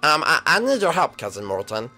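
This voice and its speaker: A man's voice: fairly high pitch, huge pitch swings, a little fast, pushed and bright, soft background music, heavily acted. A man voicing a whiny, bratty young male turtle prince who stammers and hesitates.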